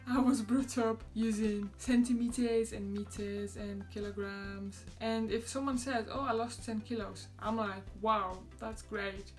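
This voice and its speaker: A young woman from Poland with a Polish accent, chatting to camera in a relaxed vlog and laughing often. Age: young adult. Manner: chatty, laughs often, quotes others in a higher voice. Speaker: vlogger. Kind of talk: casual chat to camera.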